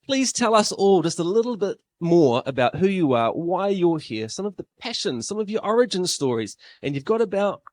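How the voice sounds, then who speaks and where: A man's voice: high voice, very animated, moderate pace, few pauses, a little mellow, no room sound. A man in his thirties with a New Zealand accent, emceeing a live event in a classroom-style room, chatty and self-deprecating.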